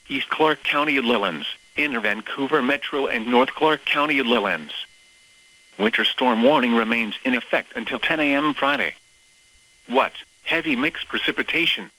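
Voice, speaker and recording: A synthetic male voice reading scripted weather bulletins as a radio announcer, in a formal, measured, list-like delivery. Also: medium pitch, bright, forceful tone, poor audio. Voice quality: synthetic text-to-speech voice.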